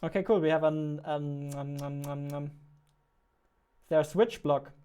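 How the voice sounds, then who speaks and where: A man, chatting casually on a livestream while he works, thinking aloud in a halting way. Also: on the high side, steady tone, warm tone, clear, resonant tone. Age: 20s. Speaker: game developer and streamer. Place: indoor room at a computer, keyboard clicks behind.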